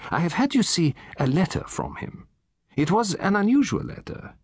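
A man's voice: high-pitched, wildly swooping pitch, normal speed, darkish tone, breathy edge, dry recording, studio quality, voice pushed up, heavily acted. A man voicing a fussy, self-important older Belgian detective, speaking in formal, precise phrases. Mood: indignant. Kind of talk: audiobook character voice.

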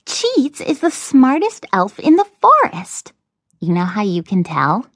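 A woman voicing a small, boastful, excitable male elf in a children's storybook, given to exclaiming. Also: medium pitch, measured pace, no echo, clean studio sound, heavily acted. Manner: talks of himself in third person, exclaiming.

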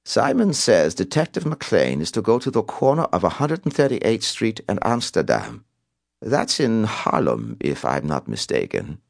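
A man voicing a sinister European criminal caller with an accent, speaking in a slow, flat, playfully menacing way. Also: fairly low pitch, vocal fry, dry recording, clean studio sound, voice pushed up, exaggerated voice. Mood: calm menace. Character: sinister European male bomber taunting police by phone.

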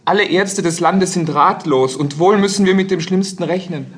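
A boyish, high-pitched prince frets in a fairy-tale radio play. He is courteous and full of worry. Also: moderate pace, fairly bright tone. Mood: sorrowful. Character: young prince, worried, despairing, polite son.

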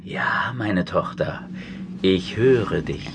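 Slow, low demonic false priest. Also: male voice, animated, gravelly, a little hiss or hum, heavily acted. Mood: solemn, hushed. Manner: slow, sinister, whispering. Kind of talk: German horror audio drama acting. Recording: music under the voice.

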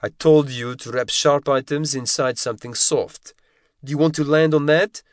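A man's voice: fairly high pitch, steady tone, moderate pace, no echo, studio quality, pitched above the performer's own voice, close to an everyday voice. A man putting on a giant bullying Russian instructor. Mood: scolding. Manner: yelling, abusive, spitting. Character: giant brutal Russian male parachute instructor.

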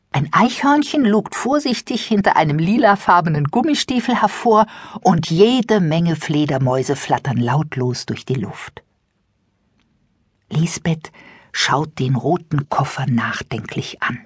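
A woman narrating a children's story expressively and with suspense, in a breathy, at times whispery voice. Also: low voice, dry recording, clean studio sound. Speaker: audiobook narrator. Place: dry studio.

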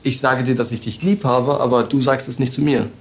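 A man's voice: mid-range voice, steady tone, fairly quick, slight background noise, lightly acted. A young actor playing an earnest teenage boyfriend in a radio play, who pleads his case. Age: teenage boy.

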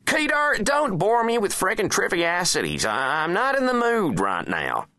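A man's voice: high-pitched, wildly swooping pitch, moderate pace, pushed and bright, no room sound, studio quality, pitched above the performer's own voice, full character voice. A man voicing a petulant, exasperated American president in a satirical audiobook, sarcastic and whiny, with emphatic swearing. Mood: irritated. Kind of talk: satirical audiobook character acting.

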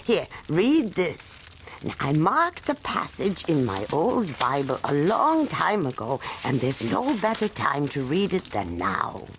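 A kindly old granny in a radio-style story for children. Her voice is gravelly and slow, rising and falling freely. She soothes and bosses in homely, feisty fashion. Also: low-pitched, big pitch swings, background noise, full character voice. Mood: gentle, encouraging. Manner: folksy, soothing yet bossy. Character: kindly, feisty old grandmother of strong faith. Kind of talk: children's audio drama acting.

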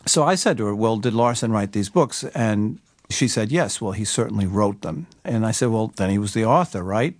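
A man explaining things in measured, careful phrases as an interview guest. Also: fairly low pitch, lively intonation, no room sound. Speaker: newspaper writer, interview guest.

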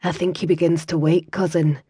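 Brief: woman narrator doing a soft-spoken, sultry Scottish healer lass, gently teasing, hushed and low, storybook read. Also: level tone, brisk, breathy edge, no echo, studio quality. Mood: gentle teasing.

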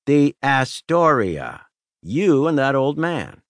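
A man's voice: mid-range voice, big pitch swings, slow, no echo, clean studio sound, pitched above the performer's own voice. A man voicing a crude, cocky older male convict who drawls and teases in an audiobook. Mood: sly. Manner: drawling, teasing and sly. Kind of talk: audiobook character voice.

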